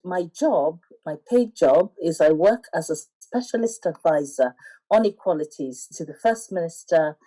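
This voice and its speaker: Female adviser interviewed about herself. Low register, dark and warm tone. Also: animated, unhurried, low-quality recording. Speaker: government equality adviser being interviewed. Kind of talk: spoken interview, personal account.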